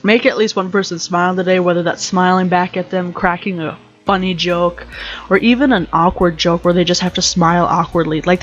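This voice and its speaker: Young woman host, deep voice, rambling jokey rant, lo-fi home recording. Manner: rambling, joking, self-interrupting. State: slightly sick with allergies. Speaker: podcast host. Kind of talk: casual motivational rant.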